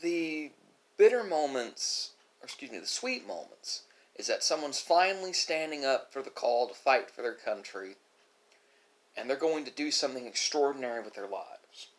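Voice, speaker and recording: A man with an average-pitched voice delivers an unscripted, reflective monologue to an online audience. He talks in a philosophical way, breaking phrases with pauses and correcting himself along the way. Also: expressive, poor audio. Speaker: ASMR video creator. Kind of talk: unscripted musing monologue to viewers during a mukbang.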